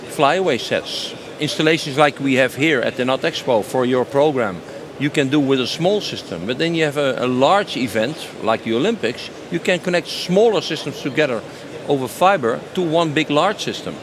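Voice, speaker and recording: A man in a mid-pitched voice. He talks spontaneously and enthusiastically, often repeating a phrase for emphasis. The recording has obvious background noise, as on a busy exhibition floor. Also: animated, moderate pace, hardly any pauses. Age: 50s. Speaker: company sales director being interviewed. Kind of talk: spontaneous interview answers. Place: trade show stand.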